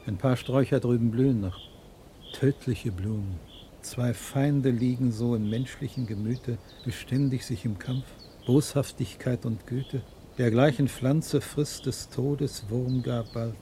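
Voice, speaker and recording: A man's voice: mid-range voice, steady tone, measured pace, warm tone, slight background noise, lightly acted. An actor playing a solemn, literary-minded man in a 1960s German radio crime drama, with a declamatory turn of speech. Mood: solemn, musing. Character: male associate of the inspector, solemn, literary-minded.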